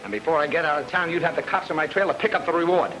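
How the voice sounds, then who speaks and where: A man's voice: fairly high pitch, rapid-fire, lightly acted, rough recording. An actor playing a suspicious, streetwise robber in an old-time radio crime drama, talking in clipped tough-guy slang. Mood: wary, bargaining. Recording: old radio recording with music.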